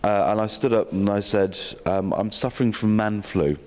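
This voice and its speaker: A man with a slightly croaky voice giving a welcome speech at a health sector session, with dry self-mocking humour and many ums and restarts. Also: on the low side, normal speed, a little mellow. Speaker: opening speaker at a health sector learning session. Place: conference hall. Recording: long hall reverb.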